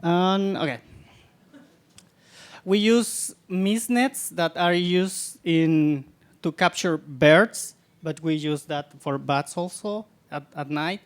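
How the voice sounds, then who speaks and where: A man from Guatemala with a Guatemalan accent giving a public science talk, halting often and repeating words as he searches for them. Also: a little high, smooth. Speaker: guest lecturer, scientist.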